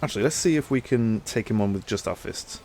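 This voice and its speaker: A man's voice: lowish voice, lively intonation, fast, darkish tone, a little hiss or hum. A young man with a stuffy nose from a cold, chatting easygoingly as he plays a game and thinks aloud. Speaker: gaming channel host. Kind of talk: casual gameplay commentary.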